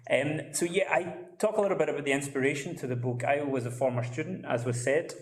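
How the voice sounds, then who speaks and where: A man's voice: on the high side, fast, echoey room. A man presenting his book at a launch event, speaking with many hesitations and fillers. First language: English. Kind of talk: presentation talk.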